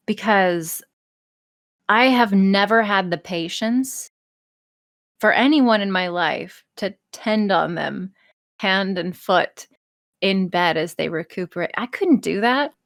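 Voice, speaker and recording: A woman's voice: medium pitch, no room sound. A woman with a mid-range voice talks casually as a podcast guest. She is blunt and self-deprecating and swears freely. The sound is dry, with very little room in it. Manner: sweary, blunt, self-deprecating, animated storytelling with acted-out asides. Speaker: podcast guest, audio voice performer. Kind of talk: casual interview chat.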